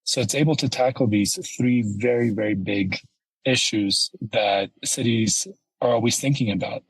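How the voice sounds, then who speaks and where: A man from Ethiopia, a company founder, answering interview questions in a measured, explanatory way. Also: medium pitch, slightly rough, no room sound, low-quality recording. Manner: measured, explanatory, uses "you know" and "right?". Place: home office in Ethiopia, remote call.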